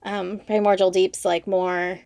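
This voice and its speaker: Woman, mid-pitched, very dry sound. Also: little pitch movement, a little slow, gravelly, darkish tone, no echo. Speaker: podcast host. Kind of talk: casual interview chat.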